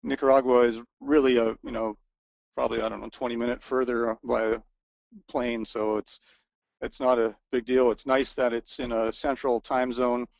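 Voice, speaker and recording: A man with a gravelly, mid-pitched voice talks through a webinar presentation, stumbling over restarts and fillers as his voice wears thin. The sound is dry and close, picked up by a computer microphone with no room around it. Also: flat delivery, normal speed, darkish tone, no echo, lo-fi sound. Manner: hesitant, many restarts and fillers, self-deprecating. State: voice wearing out. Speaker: resort co-owner presenting a webinar. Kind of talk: informal sales presentation.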